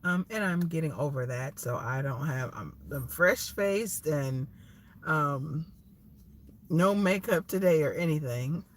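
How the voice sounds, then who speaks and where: A woman's voice: deep voice, fairly bright tone, a little hiss or hum. Nasal woman recovering from a cold. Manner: rambling, many ums and restarts. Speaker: lifestyle vlogger. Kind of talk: casual live vlog monologue. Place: at a food place, waiting for food.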